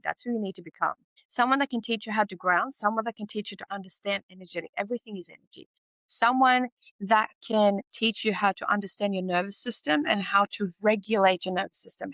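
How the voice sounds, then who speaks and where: A woman's voice: medium pitch, gravelly, fairly bright tone, no room sound. A woman from Sweden, hosting a podcast and giving a blunt, emphatic motivational talk, swearing and repeating points for emphasis. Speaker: podcast host and teacher. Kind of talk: motivational monologue.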